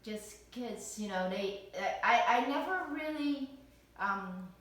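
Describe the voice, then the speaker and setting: Woman, slow halting speech, lots of fillers, echoey room, distant mic. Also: fairly high pitch, expressive, a bit bright. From Vietnam. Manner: hesitant, many fillers and restarts. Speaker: interviewee, parent. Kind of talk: casual recorded interview.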